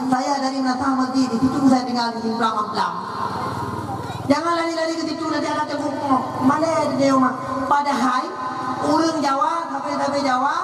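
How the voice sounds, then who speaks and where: A man from Aceh with an Acehnese accent preaching an animated village sermon, slipping into slow chanted prayers. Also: extremely high pitch, background noise. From Aceh, Indonesia. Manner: animated, mixes local words, chants prayers slowly. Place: village religious gathering. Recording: echoey hall, distant mic, loud music.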